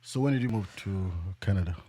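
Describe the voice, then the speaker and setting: A young man with a low, slightly husky voice hosts a relaxed podcast chat. English is not his first language, and he laughs easily as he keeps asking follow-up questions. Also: fast talker. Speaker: podcast host.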